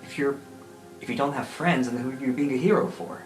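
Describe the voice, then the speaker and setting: A man voicing an awkward, gentle older man who counsels a youngster haltingly in a roleplay podcast. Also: medium pitch, echoey room, music in the background, lightly acted, poor audio. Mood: awkward concern. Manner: hesitant, kindly, trailing off.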